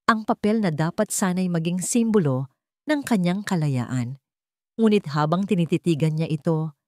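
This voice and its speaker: A woman's voice: lowish voice, dark tone, dry recording, clean studio sound. A woman reading a scripted romance story as a dramatic narrator.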